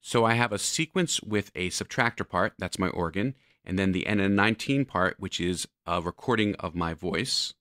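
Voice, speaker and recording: A man narrating a software tutorial, explaining step by step like an instructor. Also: low voice, moderate pace, hardly any pauses, dry recording, clean studio sound.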